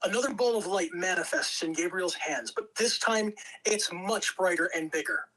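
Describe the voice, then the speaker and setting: A man's voice: sits high, expressive, normal speed, sharp tone, low-quality recording. A man reading stage directions as narrator, in scripted dramatic narration. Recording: amateur home recording.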